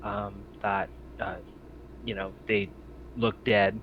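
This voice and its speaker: A man running a tabletop game as game master, explaining scenes in a hesitant, halting way. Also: on the low side, level tone, dull audio, background noise.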